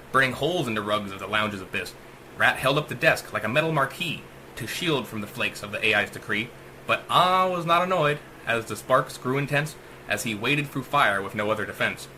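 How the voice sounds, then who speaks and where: A man's voice: medium pitch, bright, forceful tone, slight background noise, low-quality recording. A man narrating a rhyming short story as a dramatic audiobook, with theatrical, emphatic delivery. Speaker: author reading own short story.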